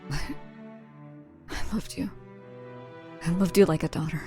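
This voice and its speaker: A woman's voice: fairly low pitch, steady tone, measured pace, over music. A woman playing a stern female leader and reluctant mother figure with heavy-hearted feeling in an amateur audio drama. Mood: tender, weak.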